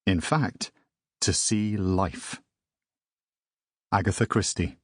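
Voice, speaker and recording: A man narrating a children's audiobook in a measured storytelling manner. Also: deep voice, no echo, studio quality.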